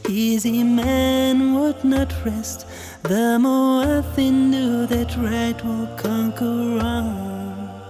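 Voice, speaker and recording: Woman singing slowly over loud music. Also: a little high. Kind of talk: gentle devotional singing.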